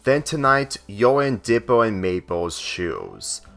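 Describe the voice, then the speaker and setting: A man's voice: mid-range voice, huge pitch swings, takes it slowly, vocal fry, a bit bright, full character voice. A man voicing a cool, protective teenage girl in a fan fiction story, casual and upbeat. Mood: casual, confident. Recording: music under the voice.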